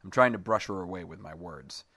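A man reads a first-person short story in a very deep voice. His delivery is slow and measured, and he is recorded close and dry, with no room sound. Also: sharp tone, no room sound. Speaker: story reader.

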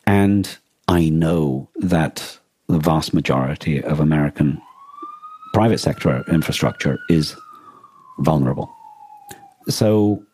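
Male podcast co-host, dark husky tone in a dry close recording, reflective and halting. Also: extremely low pitch, no room sound. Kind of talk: reflective conversational discussion.

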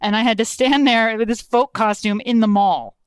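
An animated woman author tells stories in a relaxed interview, laughing often and jumping into quoted voices. Her tone is smooth and clean, recorded close and dry with no room sound. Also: fairly high pitch, moderate pace, no echo. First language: Lithuanian. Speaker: guest author. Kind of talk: casual interview conversation.

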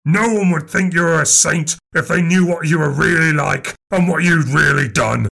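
A man voicing a sullen, spiteful boy in an audiobook, with a gravelly, grumbly, contemptuous snarl. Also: lowish voice, animated, normal speed. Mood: furious. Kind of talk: audiobook character voice.

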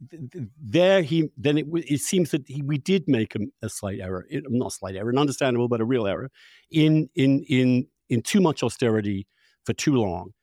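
A man's voice: mid-range voice, a little fast, warm tone, no echo, studio quality. An older man hosting a conversational political discussion, emphatic and often correcting himself as he goes. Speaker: podcast host.

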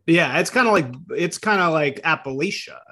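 A man from Chicago hosting a casual interview podcast, talking in a rambling, animated way with many fillers and restarts. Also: fairly high pitch, normal speed. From Chicago, US Midwest. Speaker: podcast host.

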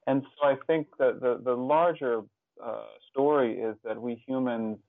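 Halting, gravelly man with muffled sound. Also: mid-range voice, steady tone, no echo. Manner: halting, thoughtful, with pauses and repeated words. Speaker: interview guest, author and hospice volunteer. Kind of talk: reflective interview answer.

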